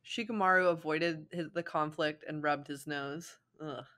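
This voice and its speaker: A woman's voice: deep voice, fairly even intonation, moderate pace, a bit bright. A young woman reading fan fiction aloud as a co-host, casually and theatrically, with playful, giggly banter.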